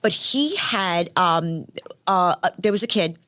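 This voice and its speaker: A woman from Staten Island with a New York accent, talking as a guest in a casual interview in a brash, animated way with many restarts. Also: on the low side, a little slow, no echo, clean studio sound. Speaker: guest, former reality show contestant.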